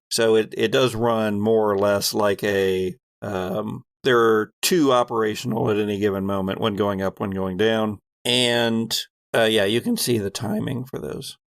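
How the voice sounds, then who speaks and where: A man with a creaky, gravel-edged voice runs a horror tabletop game as game master. He speaks conversationally and paints scenes in descriptive detail, recorded close and dry. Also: fairly low pitch, dry recording. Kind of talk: narrating a tabletop horror role-playing game.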